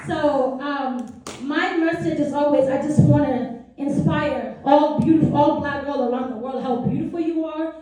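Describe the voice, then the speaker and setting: A woman's voice: high-pitched, normal speed, warm tone, very echoey, distant mic, poor audio. A young woman from South Sudan telling her personal story to a meeting, earnest and chatty with many asides. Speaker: speaker. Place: meeting room.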